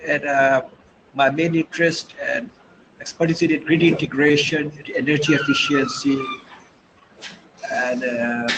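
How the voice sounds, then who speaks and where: A man, from Bangladesh, introducing himself on a video call, halting with many uhs. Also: fairly high pitch. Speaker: webinar participant, utility engineer. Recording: noisy video call audio.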